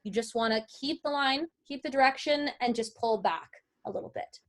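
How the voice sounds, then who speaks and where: A woman's voice: on the high side, normal speed. A woman teaching an informal online lesson, explaining and answering questions in a conversational way. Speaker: voice-over artist teaching a lesson.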